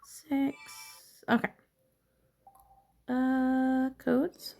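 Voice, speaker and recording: A woman talks her way slowly through a playthrough, with a frequent fry in her mid-pitched voice. She muses to herself with curiosity in a casual commentary style, while faint game music plays behind her. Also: completely flat delivery, warm tone. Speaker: gamer narrating own playthrough. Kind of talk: casual gameplay commentary, thinking aloud.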